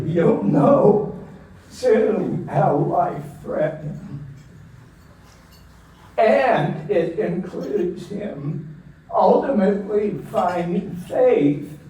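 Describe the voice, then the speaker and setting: A man interviewing a guest at a church gathering, slowly introducing people to the audience in a large meeting room. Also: sits high, mellow. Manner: slow, deliberate speaker addressing a room. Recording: echoey room from far off, faint noise.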